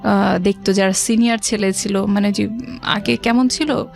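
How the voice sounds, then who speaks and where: A woman's voice: medium pitch, fairly even intonation, a little slow, gravelly. A woman from Rajshahi with a Bangladeshi accent, telling her life story conversationally as a radio guest and mixing in English words. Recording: background music under the voice. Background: music.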